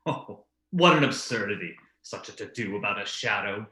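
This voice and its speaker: Forceful, blustering gothic brother man acting. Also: lowish voice, lively intonation, moderate pace, echoey room. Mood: forced nonchalance. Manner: theatrical, forceful, falsely jovial. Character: blustering, volatile brother hiding guilty dread. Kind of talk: old-time radio drama acting.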